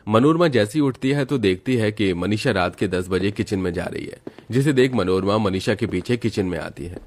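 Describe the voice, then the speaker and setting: A man with a low-pitched voice narrates a scripted family story. He delivers it as a storyteller reading prepared text. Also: moderate pace, a little mellow, soft background music.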